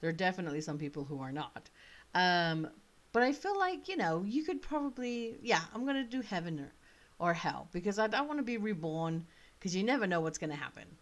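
A woman in her thirties talks into her webcam in a small room, her voice sitting a little low. A faint British accent colours her chatty, wandering commentary, full of asides as she thinks aloud. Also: sing-song, fairly quick. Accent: slight British. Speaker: author playing a choice game. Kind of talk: casual commentary.

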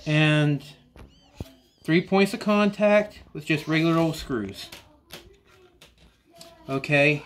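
Guy in his 40s chatting about saws in his basement shop, slow and kinda high-ish voice, lots of restarts. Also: slight background noise. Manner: slow, rambling, with frequent restarts and "you know". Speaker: woodworking channel host. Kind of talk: casual workshop tool review. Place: cluttered indoor workshop or basement.

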